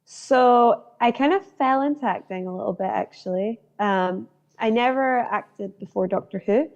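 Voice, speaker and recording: A woman's voice: a little high, moderate pace, darkish tone. Chatty woman telling set stories, lo-fi. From Northern Ireland. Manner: chatty, storytelling with fillers. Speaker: guest actor. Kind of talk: casual interview chat. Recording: dull lo-fi sound.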